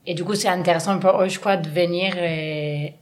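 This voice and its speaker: A woman's voice: on the low side, steady tone, normal speed. A woman from Argentina, an interpreter, giving casual interview answers and often searching for words and restarting her phrases.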